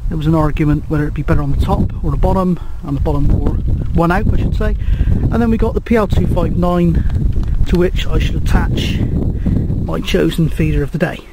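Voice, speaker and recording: A British man with a notably high voice talks through a hands-on demonstration in a casual, matter-of-fact way. He rambles a little and hesitates as he goes. He is recorded outdoors on a camera microphone, with clear background noise. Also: brisk. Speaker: radio hobbyist presenter. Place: outdoors on a grassy coastal hilltop. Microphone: handheld camera microphone.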